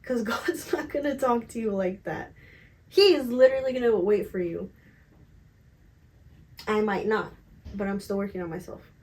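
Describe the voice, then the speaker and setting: A woman in her twenties chatting casually and candidly to camera as a vlogger, emphatic and slangy, with a slightly raspy voice. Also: mid-range voice, normal speed, pauses often, a little mellow. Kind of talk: casual confessional chat to camera.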